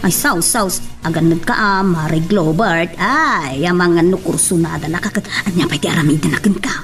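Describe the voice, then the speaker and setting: A woman with a Filipino accent acting an excitable, gossipy, protective friend in a radio drama. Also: on the low side, normal speed, close to an everyday voice. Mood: alarmed.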